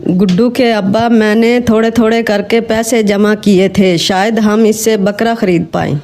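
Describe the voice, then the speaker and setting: A man voicing a gentle, reassuring wife and mother in a cartoon story. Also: high-pitched, steady tone, unhurried.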